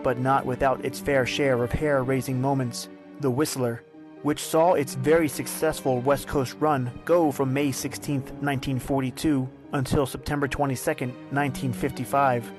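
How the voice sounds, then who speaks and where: A man's voice: mid-range voice, little pitch movement, moderate pace. A man hosting and narrating in a scripted, theatrical old-time radio storytelling style. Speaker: podcast host and narrator. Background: music.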